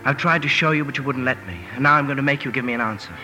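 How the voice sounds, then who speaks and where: A man's voice: mid-range voice, rapid-fire, bright, forceful tone, soft background music. An actor playing an ardent, impetuous young man in love, emphatic and pleading, in a 1950s radio drama.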